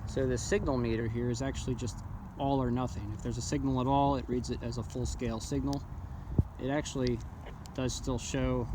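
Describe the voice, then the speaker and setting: A man giving a casual, off-the-cuff product demonstration, speaking haltingly and thinking aloud as he goes. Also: mid-range voice, steady tone. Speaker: amateur radio hobbyist reviewing handheld radios. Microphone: handheld phone camera. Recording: noisy phone video with clicks.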